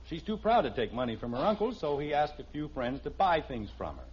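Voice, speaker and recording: A flustered American family father in a 1950s radio comedy. He stammers and hedges in a slightly rough voice that leaps up and down. The old broadcast recording sounds dull and muffled. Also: a little high, big pitch swings, a little fast. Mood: earnest, defensive. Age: middle-aged. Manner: stammering, hesitant. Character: flustered, sheepish family father trying to explain himself. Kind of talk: 1950s radio sitcom acting.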